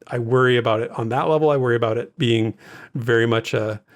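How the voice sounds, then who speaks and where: A man with an average-pitched voice chats as a co-host on a gaming show. His delivery is informal and easygoing, like relaxed conversation between friends. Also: quick pace. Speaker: podcast co-host. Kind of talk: casual gaming discussion.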